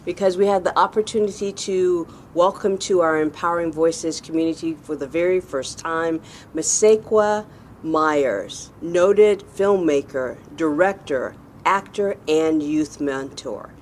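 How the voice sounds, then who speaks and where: An American woman hosting an interview show with deliberate, formal phrasing. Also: low-pitched, darkish tone, slight background noise. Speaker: talk show host, interviewer.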